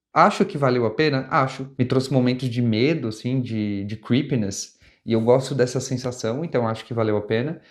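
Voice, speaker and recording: A man's voice: mid-range voice, moderate pace. A young adult man with a Brazilian Portuguese accent, talking casually as host of a comics review channel, often restarting and rephrasing as he goes. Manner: conversational, with frequent restarts and rephrasing.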